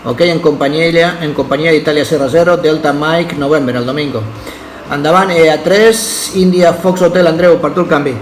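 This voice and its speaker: A man's voice: on the high side, moderate pace. A man making a casual ham radio contact, hesitating and often checking that he is understood. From Catalonia, Spain. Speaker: amateur radio operator. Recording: roomy echo with faint noise.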